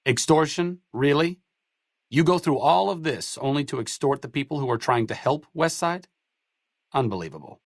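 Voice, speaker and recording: A sardonic, learned wasteland doctor in a video game. His delivery is articulate and dryly witty, with pitch that moves around a lot. The sound is close and dry, with no room to it. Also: animated, normal speed, no room sound. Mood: indignant. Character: wry, bookish, idealistic male doctor of a post-apocalyptic wasteland. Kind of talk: video game companion dialogue.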